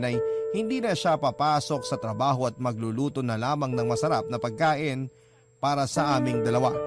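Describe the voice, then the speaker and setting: A man narrates a listener's letter in radio-drama style, earnest and measured. His pitch sits mid-range, and loud music plays behind him. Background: loud background music.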